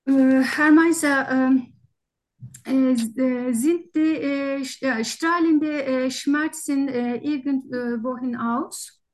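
A high-pitched woman speaks German with a foreign accent. She talks slowly and formally as a doctor questioning a patient, hesitant and searching for each word. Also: steady tone. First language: not German. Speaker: doctor taking a patient history in exam practice. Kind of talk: halting structured medical interview in role-play.

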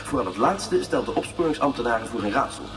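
An actor playing a formal, urgent television news reporter in a science fiction radio play. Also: male voice, mid-range voice, animated, distant mic, natural voice. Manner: formal, measured wording. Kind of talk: newsreading within a radio play.

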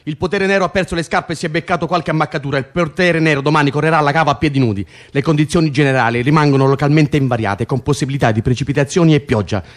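A man's voice: on the high side, very fast, few pauses, lightly acted. A man acting in an Italian radio drama, playing a weary, driven political prisoner who bosses his cellmate with wry, foul-mouthed exasperation. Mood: mock announcing.

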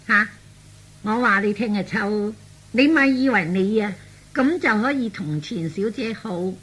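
A man voicing a sharp, scolding young jilted fiancée in husky falsetto for a radio comedy. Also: unhurried, full character voice, poor audio. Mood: scornful, indignant. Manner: scolding, taunting, emphatic. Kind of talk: Cantonese radio comedy acting.

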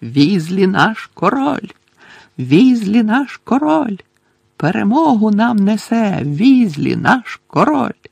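A man voicing gloating school sports fans in an audiobook, singing a slow, drawn-out mocking chant. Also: high-pitched, sing-song, vocal fry, breathy edge, dry recording, pitched above the performer's own voice, full character voice.

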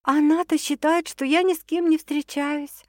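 Feisty, emotional young woman in love, higher-pitched as acted by a reader. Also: a little slow, no echo, clean studio sound. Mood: earnest. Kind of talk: audiobook romance dialogue.

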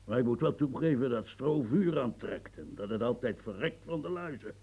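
An actor in a radio play as a grumbling old French marquis and former soldier, husky, stammering and repeating himself as he muses. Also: mid-range voice, animated, normal speed, a little mellow. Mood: rambling, nostalgic. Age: older. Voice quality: gravelly, husky. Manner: stammering, repetitive, musing, earthy, prods with "huh". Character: rambling, grumbling old French marquis, retired military officer.

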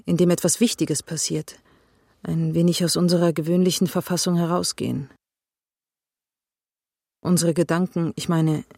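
An actress playing a serious, guarded police investigator in a radio drama, speaking earnestly and reflectively. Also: female voice, lowish voice, warm tone, no room sound, studio quality.

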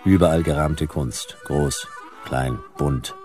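A man's voice: rumbling low, takes it slowly, music in the background. A man playing a world-weary middle-aged private detective, narrating a noir radio play in a laconic, dry, clipped way. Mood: wry, laconic.

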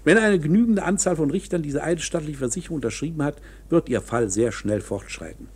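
An actor in a German radio play playing a knowing, coolly matter-of-fact court insider who explains things in a measured, insinuating, officious way. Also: male voice, fairly high pitch, animated, a little mellow, husky. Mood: businesslike.

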